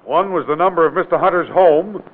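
An actor playing a brisk, authoritative state investigator with a formal, commanding manner in an old radio drama. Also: male voice, a little high, close to an everyday voice. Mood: firm, authoritative. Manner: formal, commanding interrogation with clipped questions. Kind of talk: 1940s-50s radio drama acting. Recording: tinny, noisy old radio recording.

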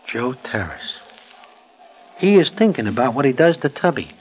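An actor playing an excitable streetwise New sidekick who tells the story in slangy, oddly formal present tense, in a 1940s radio drama. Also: male voice, mid-range voice, normal speed, lightly acted, rough recording. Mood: surprised, pitying. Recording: old radio recording with music.

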